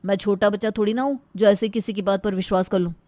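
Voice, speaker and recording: A woman's voice: mid-range voice, fairly even intonation, quick pace, a little mellow, no room sound, natural voice. A woman playing an earnest young adult in a cartoon moral story, speaking in a protesting, defensive manner.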